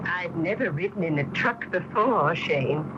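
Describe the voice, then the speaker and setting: A woman's voice: fairly low pitch, lively intonation, a little slow. An actress in a radio drama playing a genteel, questioning older small-town lady. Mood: curious, wistful. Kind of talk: 1980 radio drama acting. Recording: noisy, dull old radio broadcast.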